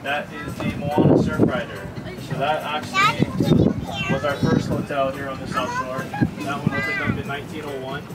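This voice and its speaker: A man's voice: fairly high pitch, wildly swooping pitch, moderate pace, loud music behind the voice. A man giving an informative tour-guide commentary on a boat out at sea, pointing out sights along the shore. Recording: distant voice over loud wind and engine. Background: wind and boat noise.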